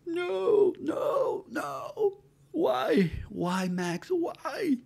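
A man's voice: extremely high pitch, very animated, very slow, creaky, darkish tone, voice pushed up, exaggerated voice. A man mockingly voicing a melodramatic, distraught cartoon fan in anguish. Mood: mock wailing. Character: melodramatic, wailing, distraught fan or fired artist.

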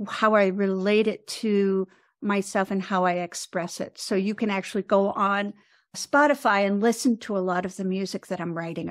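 A seventy-year-old woman with an American accent gives reflective interview answers. She phrases things thoughtfully and haltingly, at a middling pitch. The sound is dry, with no room echo. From United States. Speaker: podcast guest, composer and author.

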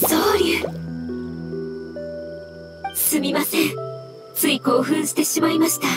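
High-voiced, courteous mushroom spirit. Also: female voice, fairly even intonation, over music. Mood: excited. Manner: courteous, measured, formally polite speech. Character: polite floating mushroom spirit, gentle and formal. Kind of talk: scripted game dialogue.

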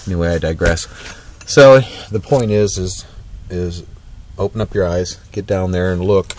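A man's voice: low voice, normal speed, a little hiss or hum. A man casually talking through a trailer repair outdoors, folksy and rambling with lots of fillers and restarts. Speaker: DIY vlogger. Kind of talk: casual off-the-cuff how-to vlog. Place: outdoors on a driveway beside a trailer.

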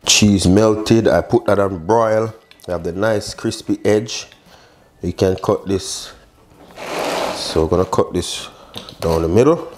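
A man's voice: on the low side, slow, long pauses. A man, casually talking viewers through a recipe step by step, with short chatty exclamations. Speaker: cooking show host, chef. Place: indoor modern home kitchen.

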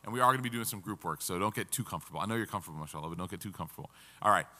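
A man's voice: sits low, lively intonation, racing, pushed and bright, in a large hall. A man in his thirties, seated at a table, teaching an interactive Quran class and asking the audience questions. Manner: engaging, asks the audience questions.

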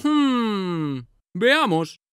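A woman's voice: mid-range voice, very animated, very slow. A woman voicing a friendly, helpful smartphone navigator in a preschool cartoon, in a singsong, instructive way over children's music. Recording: loud children's music behind.

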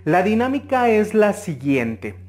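A man's voice: high voice, very animated, measured pace, darkish tone. Mexican man giving earnest tarot readings. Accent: Mexican Spanish. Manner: earnest, advising, with frequent hesitations. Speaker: tarot reader. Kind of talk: interactive tarot reading to camera.

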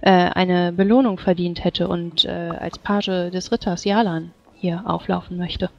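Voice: medium pitch, level tone, unhurried, creaky, darkish tone. A tabletop roleplaying player improvising in casual, polite chat on a lo-fi voice channel.

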